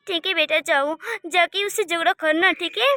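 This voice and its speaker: A woman's voice: extremely high pitch, normal speed, bright, forceful tone. A woman playing a scheming mother who coaxes her grown daughter into quarrels, in a children's cartoon story. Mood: urging. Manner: instigating, coaxing.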